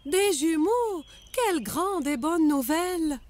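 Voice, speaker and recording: A woman's voice: extremely high pitch, lively intonation, slow pace, a little mellow, heavily acted. A woman voicing a curious, easily astonished maidservant in a dubbed cartoon, with an exclamatory, wondering manner. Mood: delighted. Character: astonished, curious young maidservant of Abraham's household. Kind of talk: cartoon dubbing.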